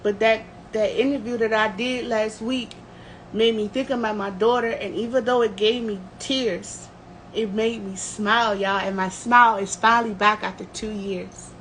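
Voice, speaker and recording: A woman's voice: medium pitch, steady tone, moderate pace, a bit bright, low-quality recording. A woman telling a personal story on a livestream in a candid, emotional, emphatic way. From United States. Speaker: livestream host. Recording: clear background noise.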